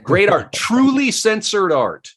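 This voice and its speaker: A man hosting a podcast discussion, speaking with deliberate, emphatic phrasing and at times reading from prepared notes. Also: high-pitched, huge pitch swings, fairly bright tone, slightly rough. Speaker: podcast host.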